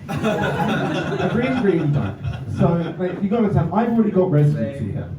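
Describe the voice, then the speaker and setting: A man's voice: sits high, big pitch swings, moderate pace, very noisy. A young English man doing a stand-up set with dry, self-mocking asides, recorded from a distance in a comedy club. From England. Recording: roomy, distant, slightly dull club recording. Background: audience laughter.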